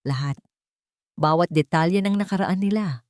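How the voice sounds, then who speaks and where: A woman voicing a concerned, protective young rich man in a story, calm but insistent. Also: fairly low pitch, normal speed, dry recording, clean studio sound, close to an everyday voice. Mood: determined.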